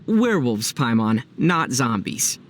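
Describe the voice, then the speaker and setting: A man's voice: mid-range voice, very animated, measured pace, noisy background. A young man playing a youthful, easygoing traveler hero in a video game, wry and dryly teasing. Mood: amused, dry.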